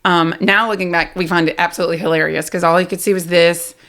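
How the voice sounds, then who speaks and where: A talkative woman with a Southern US accent, speaking candidly and loudly as an interview guest. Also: lowish voice, fairly even intonation, fast talker. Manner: loud, talkative, self-deprecating. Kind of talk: candid personal conversation.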